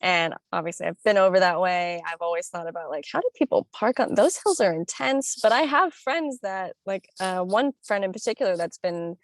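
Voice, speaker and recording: A young woman talks casually as a podcast guest, upbeat and chatty. Her voice carries a gravelly fry at the ends of phrases. The sound is dry and close, with no room echo. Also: fairly low pitch, expressive, normal speed, few pauses. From born in Italy, raised in northern Florida. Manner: chatty, upbeat, frequent "like" and laughs. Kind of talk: casual chat.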